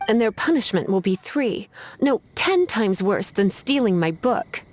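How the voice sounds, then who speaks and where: An actress playing a languid, teasing adult librarian mage who scolds with playful menace. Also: female voice, mid-range voice, big pitch swings, a little slow, a little hiss or hum. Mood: menacing. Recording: game cutscene with faint music behind.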